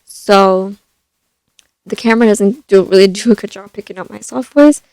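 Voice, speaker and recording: Young woman vlogger, naturally soft voice, middle register, chatty and loose, dead-dry close mic. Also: medium pitch, normal speed, no room sound. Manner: rambling, many fillers and restarts. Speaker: vlogger reviewing a film. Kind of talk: casual chatty review. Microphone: stand mic.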